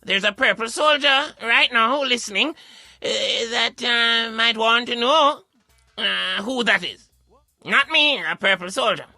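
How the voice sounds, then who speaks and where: A man's voice: very high voice, unhurried, sharp tone, dry recording, faint music behind. A man acting as co-host, putting short prompting questions and interjections to the main speaker.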